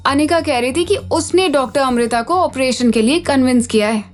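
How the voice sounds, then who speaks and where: A woman's voice: high-pitched, moderate pace, soft background music, close to an everyday voice. A woman playing a smug, deceitful young medical colleague in an audio drama, self-assured and catty. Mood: gloating. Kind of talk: Hindi audio drama acting.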